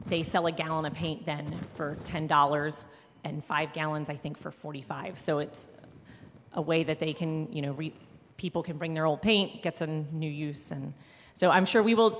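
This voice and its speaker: A woman's voice: low voice, a little hiss or hum. Woman speaking in a reverberant church. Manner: rambling, self-correcting. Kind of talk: casual church announcement. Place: large church sanctuary.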